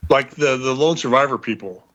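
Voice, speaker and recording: Man chatting, medium pitch. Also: brisk, a bit bright, dull audio. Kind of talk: casual chat.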